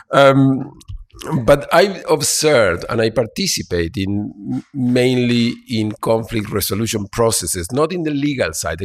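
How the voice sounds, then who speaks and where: A man from Argentina, speaking as a panelist with many fillers and restarts. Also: fairly low pitch. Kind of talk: panel talk. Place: conference hall.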